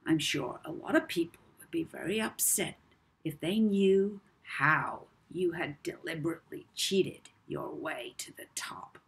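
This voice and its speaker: A woman voicing a sinister, manipulative male blackmailer in a children's audiobook, soft-spoken and lazy, with deliberate pauses. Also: deep voice, creaky, slightly rough, exaggerated voice.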